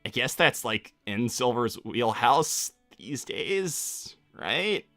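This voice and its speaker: A man voicing a cocky, easily exasperated teenage cartoon hedgehog, chatting off the cuff on a livestream in a casual, halting way that repeats itself. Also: mid-range voice, sing-song, bright, forceful tone, dry recording, faint music behind, full character voice. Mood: evasive, casual. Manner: casual, halting slacker drawl full of repetition. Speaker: livestream host answering viewer questions in character. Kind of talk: improvised in-character livestream chat.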